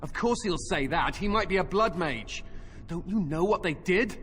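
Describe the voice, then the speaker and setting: An actor playing a fearful, zealous knight in a fantasy game, with an intense, accusing, insistent manner. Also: male voice, sits high, expressive, normal speed, a bit bright, soft background music. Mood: accusing, agitated. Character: fearful, hard-line zealous templar. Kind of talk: fantasy video game voice acting. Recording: long hall reverb, faint background noise.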